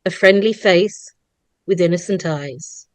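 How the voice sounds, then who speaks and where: A woman's voice: lowish voice, unhurried, no echo. A woman reading stage directions as the narrator of an online script read-through. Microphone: video call microphone.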